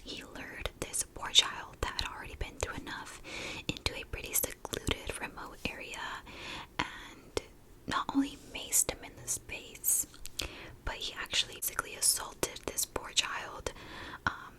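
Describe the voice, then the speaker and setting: A woman in a breathy whisper, telling a story in a chatty ASMR style, close to the microphone. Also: unhurried, low-quality recording. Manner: chatty, conversational asides. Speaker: ASMR video host.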